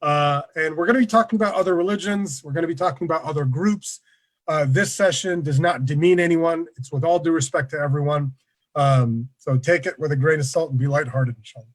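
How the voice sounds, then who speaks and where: A man presenting an online workshop over a video call, relaxed and conversational, with many fillers. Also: fairly high pitch, a little fast, rough recording. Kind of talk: casual online lecture.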